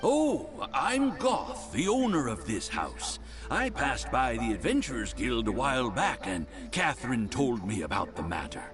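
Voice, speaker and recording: An actor voicing an eager, flustered middle-aged landlord who is overly polite, in a video game. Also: male voice, mid-range voice, wildly swooping pitch, unhurried. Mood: grateful. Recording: game audio with music underneath.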